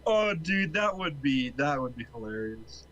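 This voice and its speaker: A man's voice: on the high side, very animated, a little slow, fairly bright tone, rough recording. A man bantering casually during a gaming session, needling his friends in a teasing, foul-mouthed way. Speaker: co-player on a game stream. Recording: clear background noise, game vehicle sounds.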